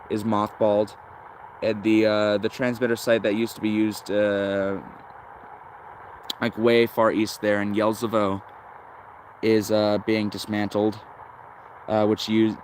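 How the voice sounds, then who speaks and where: A man hosting a hobby live stream, chatting casually and haltingly as he thinks aloud like a keen enthusiast. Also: on the low side, slight background noise, rough recording. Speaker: host of a shortwave radio listening stream.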